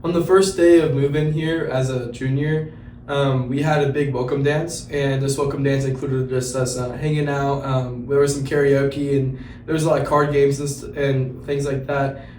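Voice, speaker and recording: A man's voice: mid-range voice, level tone, normal speed, darkish tone, far from the mic, faint music behind. A teenage boy from Central Indiana, a student ambassador, answering interview questions casually with many ums and restarts. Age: teens. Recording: roomy, off-mic with faint noise.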